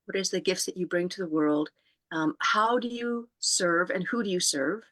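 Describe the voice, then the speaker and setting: Calm, lower-voiced woman co-hosting. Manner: calm, unhurried, many ums. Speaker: co-host. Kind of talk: guiding group instructions.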